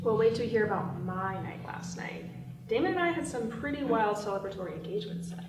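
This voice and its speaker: Woman acting a high, brash, taunting young woman. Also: quick pace, noisy background, lightly acted. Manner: teasing, animated. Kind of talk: screenplay table read. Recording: roomy, distant microphone.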